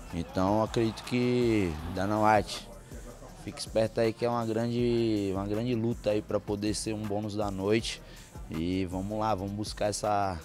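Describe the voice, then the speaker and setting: A man's voice: on the low side, a little mellow. A young man from Bahia, Brazil, a fighter talking in a casual interview in front of a press backdrop on a handheld mic. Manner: confident, hypes himself up. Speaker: MMA fighter. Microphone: handheld mic held by interviewer.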